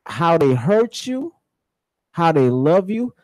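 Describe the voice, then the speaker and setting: A man's voice: a little high, big pitch swings, slow pace, a little mellow, no room sound. A man hosting a radio talk show, speaking reflectively and repeating phrases for emphasis. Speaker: radio host.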